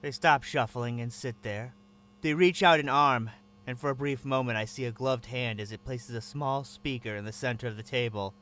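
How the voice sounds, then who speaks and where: A man's voice: mid-range voice, normal speed, a bit bright. A man narrating in first person as a captive, with a tense, wary inner voice.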